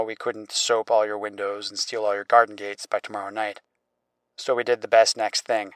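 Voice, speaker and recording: A man's voice: lowish voice, fairly bright tone, smooth, no room sound, low-quality recording. A male podcast host giving a wry, theatrical scripted sign-off.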